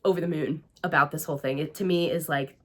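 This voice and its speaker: A woman's voice: deep voice, steady tone, fast talker, fairly bright tone. A woman with an American accent, hosting her own show and talking through her year in a chatty, gushing way with many fillers. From Greece and the United States. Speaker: podcast host and priestess. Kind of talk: casual personal recap talk.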